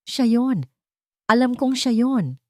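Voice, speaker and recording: Young fiancée, voiced by a female narrator: higher, trembling, anxious, evasive, storybook drama. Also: big pitch swings, no echo, studio quality. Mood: terrified. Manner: trembling, hurried, fearful.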